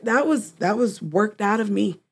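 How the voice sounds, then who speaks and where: A woman's voice: medium pitch, expressive, a little slow, husky. A woman therapist co-hosting a casual discussion, reflective, who often speaks in a client's voice to make a point. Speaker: therapist and co-host.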